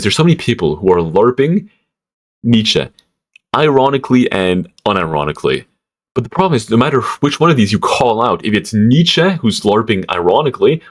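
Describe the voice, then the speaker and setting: A young man from Switzerland ranting casually to camera, rambling with stammered restarts, lots of fillers and swearing. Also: on the low side. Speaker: vlogger.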